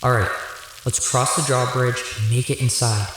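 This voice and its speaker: A man's voice: mid-range voice, fairly even intonation, moderate pace, background noise, lightly acted. Radio drama hero, male actor: sincere, weighty, guilt-ridden leader, medium register, very reverberant sound. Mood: grave, commanding. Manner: serious, sincere. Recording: very echoey, long reverb.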